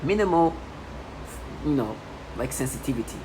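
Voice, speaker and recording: A woman's voice: a bass voice, animated, normal speed. A woman in her thirties in a rambling personal monologue, agitated and repetitive, with many restarts. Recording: noisy home recording.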